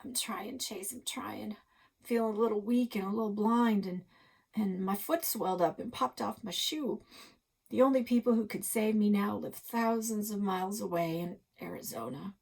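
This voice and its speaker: A woman's voice: mid-range voice, normal speed, vocal fry, a little mellow, breathy edge, pitched above the performer's own voice. A woman voicing a nervous, melodramatic young male detective in a storybook, with an anxious, pleading, folksy manner. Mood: weak, pained.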